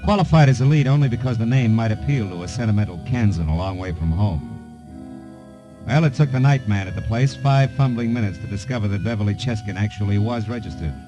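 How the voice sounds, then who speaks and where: A lowish-voiced American private eye played by an actor in 1950s radio noir, with music behind. He is cool, wry and clipped, with hard-boiled deadpan. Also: brisk, close to an everyday voice. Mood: grim narration. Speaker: lead detective and narrator.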